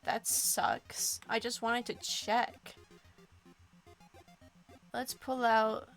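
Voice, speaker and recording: A woman's voice: a little high, fairly even intonation, drawn-out words, faint music behind. A young woman streamer reading a dating game aloud, playful and giggly, commenting between lines.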